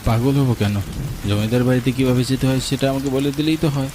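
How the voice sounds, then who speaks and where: A man's voice: mid-range voice, steady tone, brisk, lightly acted. A man voicing a desperate homeless young man who pleads politely and insistently for work in a cartoon story. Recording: clearly noisy, rain and thunder behind.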